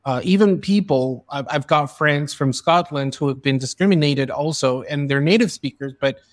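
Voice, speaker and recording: An earnest man hosts a podcast conversation, his voice sitting a little high for a man. The sound is dry and close, with no room to it. He talks in long stretches and often restarts his sentences. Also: normal speed, no room sound. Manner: earnest, fluent with frequent restarts. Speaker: podcast host. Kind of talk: conversational interview. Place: in Spain.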